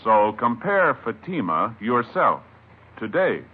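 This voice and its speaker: A man's voice: medium pitch, big pitch swings, takes it slowly, husky. An American man announcing and advertising in formal, emphatic 1950s radio style. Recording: muffled vintage radio broadcast.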